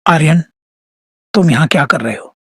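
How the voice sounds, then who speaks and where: A man playing a grave old village priest in a horror story, with a rough, husky voice and an ominous manner. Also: fairly high pitch, animated, moderate pace, no room sound, clean studio sound. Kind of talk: dramatized horror story dialogue.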